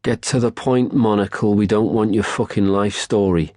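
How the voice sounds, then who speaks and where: A man's voice: lowish voice, fairly even intonation, normal speed, mellow, dry recording, clean studio sound, voice pushed up, natural voice. A man voicing a rude, foul-mouthed male chef in an audiobook, blunt, scornful and impatient.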